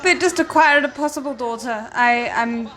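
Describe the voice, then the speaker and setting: A woman's voice: a little high, moderate pace, a crowd in the background. A woman hosting a reaction video, commenting casually and thinking aloud in a hesitant way.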